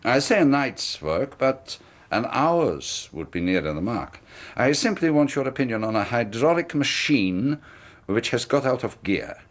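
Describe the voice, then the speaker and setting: A secretive, menacing middle-aged colonel in a radio drama. His speech is smooth and persuasive, with a quiet threat under the courtesy. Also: mid-range voice, very animated, measured pace. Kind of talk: 1980s radio drama acting.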